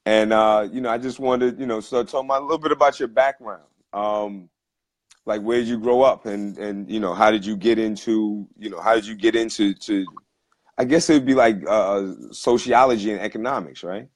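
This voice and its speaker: A man with a very low voice hosts a casual live talk show, talking into his phone. He rambles loosely, full of fillers and restarts. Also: fairly even intonation, moderate pace. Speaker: host.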